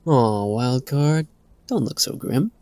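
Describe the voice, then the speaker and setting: A man's voice: medium pitch, lively intonation, slow pace, darkish tone, no echo, voice pushed up. Cocky young fantasy warrior, played by a male narrator: playful needler, mid-range voice lifted from the narration, dry close sound. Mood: teasing. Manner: playful, taunting. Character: cocky teasing young male fledgling swordsman. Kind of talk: dark fantasy audiobook character dialogue.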